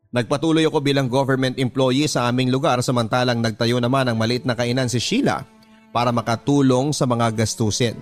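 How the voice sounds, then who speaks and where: Filipino man narrating over prominent music. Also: mid-range voice. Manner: measured, sentimental storytelling. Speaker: radio drama narrator reading a letter-sender's story. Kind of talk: first-person scripted dramatic narration. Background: music bed.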